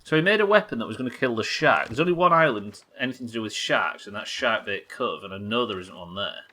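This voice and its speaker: A man's voice: fairly low pitch, lively intonation, fast, pushed and bright. A man doing casual livestream game commentary, thinking aloud, questioning himself and swearing now and then. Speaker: live game streamer.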